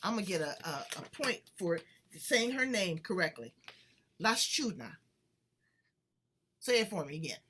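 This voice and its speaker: A woman from the United States hosting an interview show, chatting in an animated, playful way. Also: lowish voice, moderate pace, long pauses, husky. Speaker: podcast host. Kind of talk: casual interview chat.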